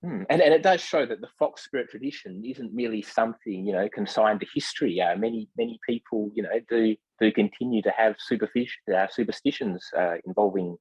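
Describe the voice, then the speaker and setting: Husky, low-voiced man, halting in discussion. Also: darkish tone, dry recording, poor audio. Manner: halting, hesitant phrasing. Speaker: podcast guest. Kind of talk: thoughtful casual discussion.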